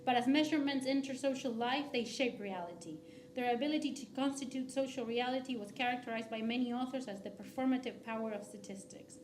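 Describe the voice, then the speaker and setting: A woman from Argentina giving academic commentary as a discussant, halting often with restarts and fillers. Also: on the high side, darkish tone, roomy sound, slight background noise. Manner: hesitant, many restarts and fillers. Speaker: discussant at a book talk.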